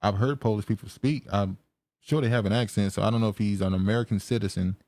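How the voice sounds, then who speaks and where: Brief: low male podcast host, halting and wry, dry close mic. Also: fairly even intonation, mellow, no echo. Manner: musing, halting, laughs often. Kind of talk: casual chat.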